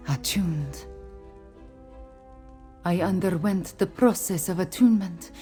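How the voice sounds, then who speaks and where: Stately cat-folk queen, mellow warm tone, a little low, composed, earnest, video game dialogue.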